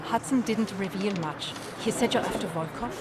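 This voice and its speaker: A woman acting a guarded, measured underground contact in a Cold War video game cutscene. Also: mid-range voice, close to an everyday voice. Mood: guarded, grave. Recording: loud background noise.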